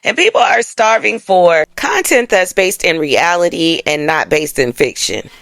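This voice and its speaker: A woman's voice: fairly low pitch, very animated, measured pace, creaky, a bit bright, no room sound. An American woman hosting an astrology monologue, talking to viewers in a colloquial, emphatic, conversational way with frequent check-in tags. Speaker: astrology host. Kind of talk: casual explanatory monologue to viewers.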